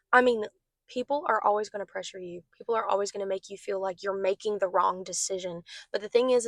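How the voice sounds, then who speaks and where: A young woman with a hoarse, worn voice talks earnestly and encouragingly to her viewers about faith. Her pitch sits in an ordinary middle range. The sound is dry and close, with almost no room echo. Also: a bit bright. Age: young adult. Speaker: host of a faith channel. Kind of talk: casual devotional talk to camera.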